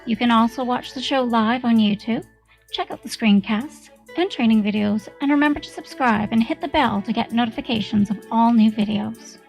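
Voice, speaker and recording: A woman's voice: on the high side, moderate pace, gravelly, a little mellow, soft background music, poor audio. A woman announcer reading a scripted promotional podcast outro in an instructional manner.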